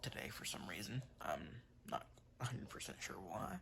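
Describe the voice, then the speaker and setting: A congested man chatting casually to his viewers in a vlog, halting with many fillers and restarts. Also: low voice, lively intonation, fairly bright tone, in a whisper. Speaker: vlogger.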